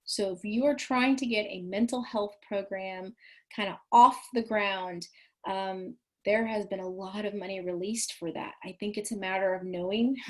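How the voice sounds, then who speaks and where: Woman trainer, a bit raspy, folksy and conversational on a video call. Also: mid-range voice. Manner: chatty, folksy, frequent ums and restarts. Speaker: counselor trainer leading a webinar. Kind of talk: online training presentation.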